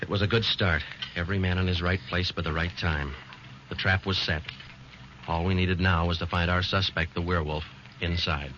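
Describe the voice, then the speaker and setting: An actor playing a terse, matter-of-fact police sergeant in a 1940s radio drama. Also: male voice, deep voice, steady tone, moderate pace, background noise, natural voice. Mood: deadpan, grave. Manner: clipped, matter-of-fact, understated. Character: terse, businesslike police sergeant narrating his cases. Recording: dull old radio recording with music behind.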